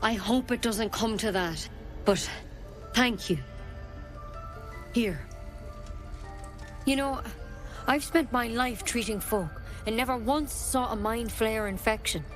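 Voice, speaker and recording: A frank, low-key druid healer. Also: female voice, takes it slowly, long pauses. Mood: puzzled, earnest. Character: earnest, frank druid healer woman, protective and worried. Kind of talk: video game voice acting. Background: background music.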